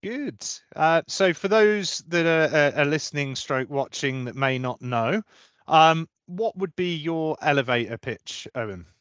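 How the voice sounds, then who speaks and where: Guy interviewing a guest, smooth clear voice, dry close sound, chatty. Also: fairly high pitch, animated, a little slow, fairly bright tone, no echo. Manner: friendly, conversational, with fillers and repeated words. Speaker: interviewer, recruitment practice lead. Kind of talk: hosting an interview.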